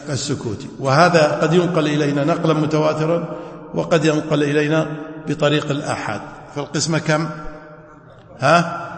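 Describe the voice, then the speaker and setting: A man's voice: fairly high pitch, creaky. A man teaching jurisprudence in a mosque, often stopping to quiz his listeners with prompting questions. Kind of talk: classroom lecture. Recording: echoey mosque hall.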